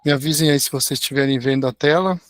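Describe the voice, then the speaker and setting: A middle-aged man with a hoarse voice, an engineering professor giving a friendly online lecture with slides over a video call. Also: mid-range voice, quick pace. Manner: friendly, tags sentences with "né?". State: hoarse, recovering from a sore throat.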